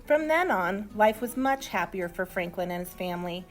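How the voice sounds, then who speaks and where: A woman reads a children's storybook in an expressive, deliberate storytelling manner. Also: mid-range voice, faint music behind. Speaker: storybook reader. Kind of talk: animated children's story narration.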